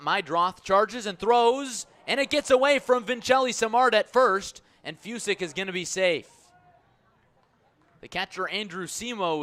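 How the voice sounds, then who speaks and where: Male baseball play-by-play radio voice, slightly high and bright, measured delivery that builds to urgent calls. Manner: measured between pitches, swelling to excited calls on plays. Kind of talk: live radio sports commentary.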